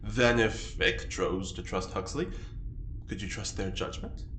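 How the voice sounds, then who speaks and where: A man's voice: lowish voice, steady tone, a little slow, fairly bright tone. An actor playing a prim, earnest, easily affronted man with a formal, theatrically wounded manner in an audio drama. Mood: measured. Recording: roomy, faint noise.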